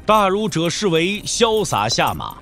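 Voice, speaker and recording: Male storyteller narrating an audio drama, higher voice, really varied intonation, soft music behind. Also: wildly swooping pitch, a little slow.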